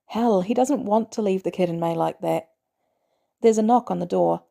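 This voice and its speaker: A woman reading fiction aloud as an audiobook narrator, in an expressive storytelling style. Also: mid-range voice, normal speed, gravelly. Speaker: audiobook reader.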